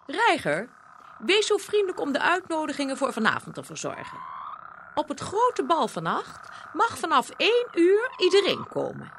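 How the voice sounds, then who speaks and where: A woman's voice: sits high, wildly swooping pitch, normal speed, creaky, noisy background, full character voice. A woman playing a haughty, bossy elf housekeeper in a fairy-tale radio play, giving orders in a fussy, grand manner.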